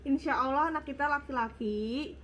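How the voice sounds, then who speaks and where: A woman's voice: high voice, moderate pace, fairly bright tone, a little hiss or hum. A young woman vlogger with a congested, slightly nasal voice, chatting casually through viewer questions and a little short of breath. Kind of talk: casual Q and A chat.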